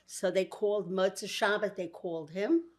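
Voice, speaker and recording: An older woman with a Brooklyn accent telling stories from her past in a warm, chatty way. She laughs often and starts sentences over as she remembers. Her voice sits at an ordinary pitch for a woman. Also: normal speed. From Georgia. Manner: warm, with frequent laughs and restarts. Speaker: guest. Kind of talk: interview, memories.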